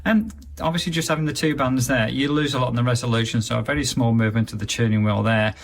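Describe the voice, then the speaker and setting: A man's voice: mid-range voice, fairly even intonation, fast, creaky. A man giving a casual, chatty hands-on review of a radio, plain-spoken and understated.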